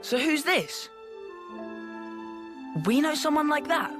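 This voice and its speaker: A woman's voice: high voice, slow, music in the background. A woman voicing a blunt, teasing child in a game, sceptical and bossy, with a British accent.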